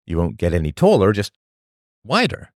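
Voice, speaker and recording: A man with a low voice reads a scripted podcast monologue. His pitch rises and falls widely as he asks rhetorical questions and leans on emphatic pauses. The recording is dry and close, with no room sound. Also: wildly swooping pitch, dry recording. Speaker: podcast host.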